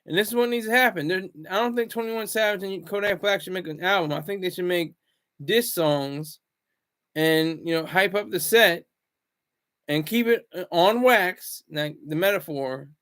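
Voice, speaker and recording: A man hosting a casual solo commentary vlog, rambling and restarting his words, swinging between loud bursts and low asides. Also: normal speed, clear, resonant tone. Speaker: commentary channel host.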